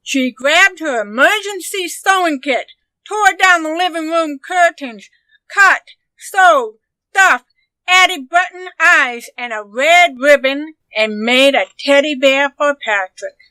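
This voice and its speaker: A woman narrating a children's story expressively, with deliberate, dramatic phrasing. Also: sits high, bright, forceful tone, no room sound, poor audio. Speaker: storybook reader.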